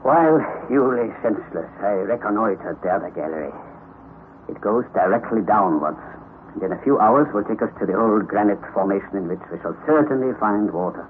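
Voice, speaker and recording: An actor playing a stubborn, grandiose elderly professor-explorer, declaiming in a vintage radio drama. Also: male voice, fairly low pitch, animated, brisk, natural voice. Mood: commanding. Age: older. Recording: tinny old radio broadcast, faint hiss.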